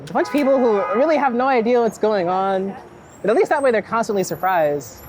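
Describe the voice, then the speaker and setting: A man's voice: high voice, expressive, a little fast. A man talking casually and reflectively as a street photographer while out walking in a city, often breaking off mid-thought. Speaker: street photographer being interviewed. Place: outdoors on a busy city street. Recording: outdoor city street noise, traffic.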